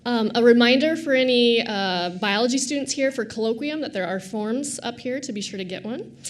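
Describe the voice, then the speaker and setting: A woman's voice: fairly high pitch, brisk. A woman faculty host making announcements before a lecture in a lecture hall, with frequent fillers. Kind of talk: event announcements.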